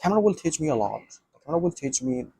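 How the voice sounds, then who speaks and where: A man's voice: a little high, sing-song, rapid-fire, creaky, mellow, slight background noise. A non-native English-speaking man talking alone to a camera about learning the language, thinking aloud with many restarts and repeated phrases. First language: not English. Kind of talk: casual solo talk to camera.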